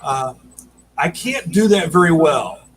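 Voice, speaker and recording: A man explaining his work as an audio drama producer in a casual, halting way during an interview over a video call. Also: a little high, expressive. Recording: noticeable background noise, video call.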